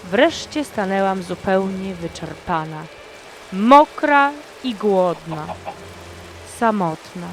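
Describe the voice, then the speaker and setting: A woman's voice: medium pitch, animated, takes it slowly, slight background noise. A woman telling a dramatized first-person horror story as a frightened, lonely heroine, with dramatic delivery. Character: frightened lonely young woman fleeing zombies. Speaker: narrator and voice of the lead character.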